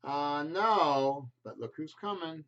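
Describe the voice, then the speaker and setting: A man's voice: a little high, lively intonation, slow pace, fairly bright tone, exaggerated voice. A man doing a cheerful little cartoon pig. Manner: upbeat, patient, teasing. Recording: slightly muffled home video audio.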